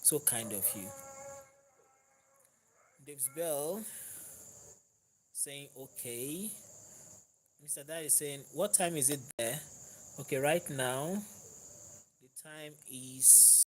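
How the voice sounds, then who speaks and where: A man's voice: on the high side, slow pace, long pauses, a little hiss or hum, poor audio. A Nigerian man whose first language is Yoruba, hosting a live stream in a casual, conversational way and reading out viewer comments. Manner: conversational, warm thanks to viewers, laughs easily. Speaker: livestream host.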